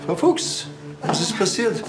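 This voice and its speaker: A man with a Bavarian accent playing a dry, offhand police detective in a TV crime comedy, talking casually and haltingly. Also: high-pitched, big pitch swings, over loud music.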